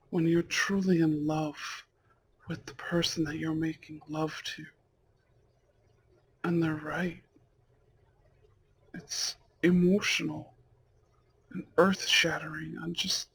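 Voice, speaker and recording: Guy acting as a mafia husband for romance asmr, high-ish voice, lots of gravel, breathy and devoted. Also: fairly bright tone, slight background noise, exaggerated voice, low-quality recording. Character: devoted, tender mafia heir, newlywed husband and anxious father-to-be. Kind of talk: romantic ASMR audio roleplay.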